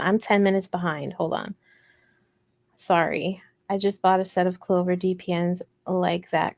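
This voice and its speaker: A woman with a soft voice, hosting a casual craft livestream in a rambling, playful, self-deprecating way as she answers viewer comments. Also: medium pitch, dry recording. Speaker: host of a crochet livestream.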